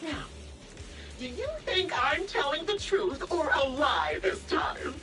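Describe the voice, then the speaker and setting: Theatrical, mocking villainess, slow and swooping. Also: female voice, high voice, huge pitch swings, raised voice, distant mic, music in the background, exaggerated voice. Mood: smug teasing. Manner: mocking, teasing, drawn-out delivery. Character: sadistic, theatrical villainess taunting a young sniper. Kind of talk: anime game voice acting.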